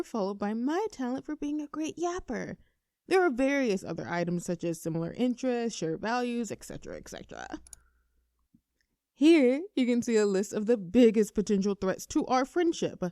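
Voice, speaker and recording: A woman's voice: on the high side, dark tone, dry recording, clean studio sound. A woman acting a nervous, earnest best friend in a roleplay audio, addressing the listener like a mock-formal presenter. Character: nervous, earnest young female best friend confessing a crush.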